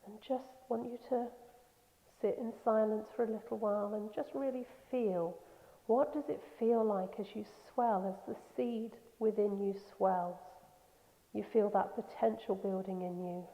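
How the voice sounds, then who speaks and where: A woman leading a guided meditation in a soothing, gentle, unhurried manner with pauses. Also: mid-range voice, fairly even intonation. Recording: echoey long reverb, slightly dull sound.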